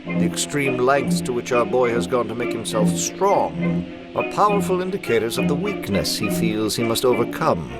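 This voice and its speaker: A man playing a menacing, grandiose criminal mastermind, speaking deliberately in a comic book drama. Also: mid-range voice, sing-song, lightly acted, low-quality recording. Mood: cold, menacing. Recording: loud music under the voice.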